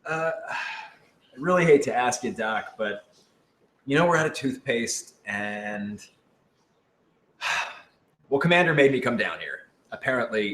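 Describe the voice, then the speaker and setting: A man's voice: on the low side, sharp tone, full character voice. Man playing a sheepish slacker spaceman in an echoey room. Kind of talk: improvised radio drama dialogue. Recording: roomy, faint room noise.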